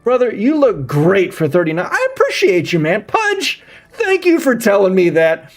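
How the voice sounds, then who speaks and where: A man's voice: high-pitched, huge pitch swings, moderate pace. A man in his thirties chatting casually as a live streamer, rambling and often repeating his phrases. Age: 30s. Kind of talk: casual chat with viewers while gaming.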